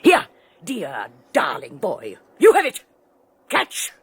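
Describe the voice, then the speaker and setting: Radio drama villainess: theatrical, sneering wife, lively swooping delivery, cackles with scorn. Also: female voice, on the low side, takes it slowly, slightly rough, no echo, exaggerated voice. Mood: scornful. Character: domineering wealthy wife, cruel, mocking, triumphant. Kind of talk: 1980s radio drama acting.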